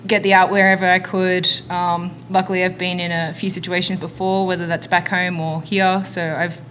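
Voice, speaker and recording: A woman's voice: on the low side, little pitch movement, moderate pace, fairly bright tone, slight background noise, low-quality recording. A young adult woman player answering matter-of-factly into a table mic. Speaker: college softball pitcher.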